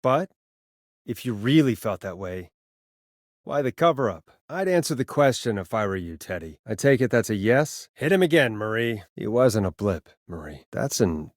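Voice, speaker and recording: A man's voice: on the low side, big pitch swings, moderate pace, no echo, studio quality. A man acting an earnest, wry late-night radio DJ caught in a crisis in a video game, talking conversationally and sometimes hesitantly. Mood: stern, accusing. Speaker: radio host.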